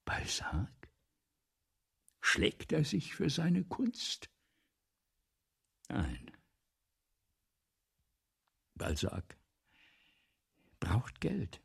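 Husky, theatrical, embittered playwright. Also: male voice, fairly high pitch, heavily acted. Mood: bitter. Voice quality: husky, gravelly, breaking into whispers. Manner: theatrical, rhetorical, building repetitions then sudden hushes. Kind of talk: German radio play monologue, 1970s.

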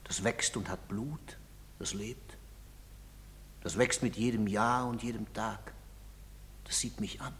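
A man's voice: mid-range voice, close to an everyday voice. An actor playing a guilt-ridden, hard-drinking father, bitter and brooding, in a declamatory theatrical stage style. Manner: brooding, declamatory, swinging from outbursts to muttered monologue. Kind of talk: theatrical stage drama acting in German. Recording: reverberant hall, noisy background hiss.

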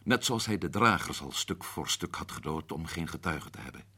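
A husky, shrewd sleuth. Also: male voice, deep voice, lively intonation, fairly quick, bright, forceful tone, full character voice. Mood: explaining. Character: Paul, a shrewd man unravelling a mystery. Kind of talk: radio drama acting.

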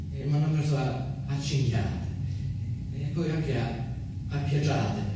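A male actor playing a frayed, troubled southern Italian delinquent in a radio monologue, speaking haltingly and repeating his words in colloquial speech with a southern accent. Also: mid-range voice, lightly acted. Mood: bitter, pained. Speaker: actor in a solo radio play. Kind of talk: theatrical first-person monologue. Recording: very echoey, distant, noisy stage-like recording.

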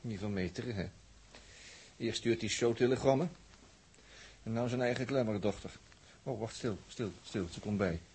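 A man's voice: lowish voice, moderate pace, long pauses, a little mellow, slightly rough. A man with a Dutch accent acting a wry, blunt, jovial crewman in a 1960s radio drama. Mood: excited. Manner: colloquial, muttering, wry. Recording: noisy old 1960s radio recording.

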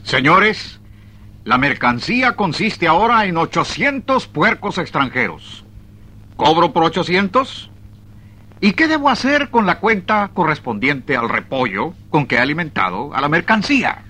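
Stubborn railway clerk, radio play, bright forceful husky voice, very emphatic. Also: male voice, on the high side, big pitch swings, a little slow, exaggerated voice. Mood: indignant. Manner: theatrical, emphatic. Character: stubborn, exasperated male railway freight agent. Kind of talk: 1980s Spanish-language radio drama acting.

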